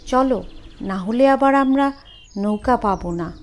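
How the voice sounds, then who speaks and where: A woman's voice: fairly high pitch, measured pace, darkish tone, slight background noise, natural voice. A woman voicing a skeptical male boss in a ghost story, with a dismissive, commanding and dramatic manner. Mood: urging.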